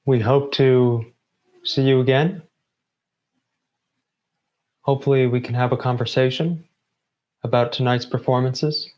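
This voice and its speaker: A man delivering a prepared closing address in deliberate phrases broken by pauses. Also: medium pitch, steady tone, a little mellow, lo-fi sound. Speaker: member of a student theatre group giving closing remarks.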